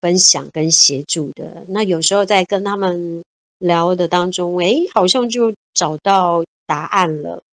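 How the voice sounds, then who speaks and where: A woman's voice: lowish voice, measured pace, no echo. Cheerful woman in her fifties, dry sound. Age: 50s. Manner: cheerful, laughs often, repeats words. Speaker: guest. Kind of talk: casual interview chat.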